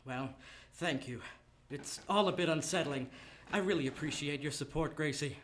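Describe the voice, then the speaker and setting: A man's voice: a little high, moderate pace, a bit bright, lightly acted. A man playing a charming, earnest star actor and philanthropist in a live radio drama, courteous in manner. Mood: unsettled, courteous. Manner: earnest, courteous, smooth-talking. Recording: noisy live studio stage recording.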